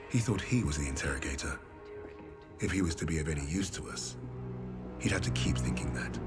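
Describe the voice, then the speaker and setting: An actor playing a resolute, defiant captive Allied lieutenant in a war game cutscene, grave and controlled in delivery. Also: male voice, sits low.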